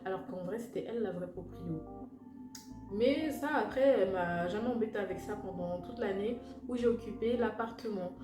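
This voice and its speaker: A woman's voice: medium pitch, moderate pace. A young woman from French Guiana casually telling a personal story to her viewers in a slangy, chatty way full of fillers and asides. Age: young adult. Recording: echoey room with loud music behind.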